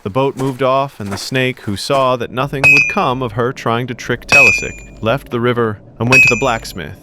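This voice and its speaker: A man narrating a children's fairy tale in an expressive, scripted storybook style. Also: mid-range voice, normal speed, heavy background noise.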